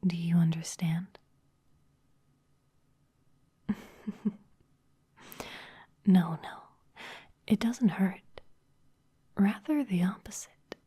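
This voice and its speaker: A woman's voice: fairly low pitch, takes it slowly, pauses often, warm tone, breathy edge, heavily acted. A woman playing a seductive, domineering vampire office boss in an ASMR roleplay, whispering breathily in a teasing, commanding manner. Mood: coaxing, seductive.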